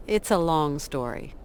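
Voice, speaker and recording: Firm plucky young adventurer for a video game, very animated pitch. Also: female voice, slight background noise. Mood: caring. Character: determined, caring young woman adventurer, plucky and defiant.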